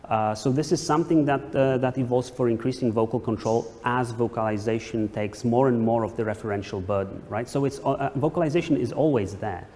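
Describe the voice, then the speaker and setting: A man's voice: medium pitch, fairly quick, hardly any pauses, dark tone. A man answering questions as an academic presenter, explaining hesitantly and often checking with a tag question. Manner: hesitant, frequently checks with "right?". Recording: echoey hall, some background noise.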